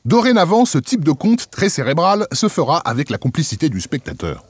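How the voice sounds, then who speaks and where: High-pitched man narrating with lively swings. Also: fairly quick, husky. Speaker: narrator of culture magazine. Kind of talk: scripted voice-over narration.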